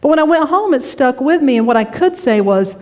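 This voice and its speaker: A woman from North Carolina, a minister giving a lively, conversational sermon in a church, laughing often as she tells stories. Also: high voice, fairly quick, slight background noise. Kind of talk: sermon with storytelling. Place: church sanctuary.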